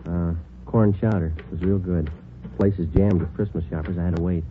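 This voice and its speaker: A low, deadpan man's voice on a tinny, hissy old radio recording. A male actor plays a laconic police sergeant, clipped and matter-of-fact, in a vintage crime drama. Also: fairly quick, lightly acted. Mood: casual, dry. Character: laconic, businesslike police detective sergeant, narrating. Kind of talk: 1940s radio drama acting.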